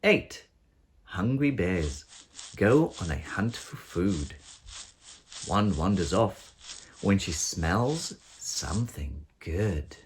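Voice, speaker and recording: Slow, very animated male storyteller. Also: deep voice. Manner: expressive sing-song storytelling with deliberate phrasing. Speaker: children's story narrator. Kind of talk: read-aloud picture book narration. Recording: faint background noise with sound effects.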